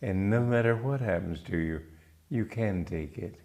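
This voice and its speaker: An older man from Romania with a mixed English-American accent, giving a calm spiritual talk with stories. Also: fairly low pitch. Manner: calm, measured, storytelling. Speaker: spiritual teacher.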